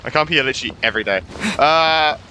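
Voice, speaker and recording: A man's voice: on the high side, quick pace, pushed and bright, a little hiss or hum, rough recording. A man giving casual playthrough commentary as a gamer, informal and playful, reacting aloud. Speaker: gamer, video host.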